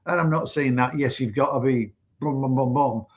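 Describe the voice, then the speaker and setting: A man co-hosts a casual livestream in an easygoing, conversational way, expanding on what his partner says. His voice sits at an ordinary middle pitch with a plain everyday tone. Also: normal speed. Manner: laid-back, conversational, adds to her points. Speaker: co-host.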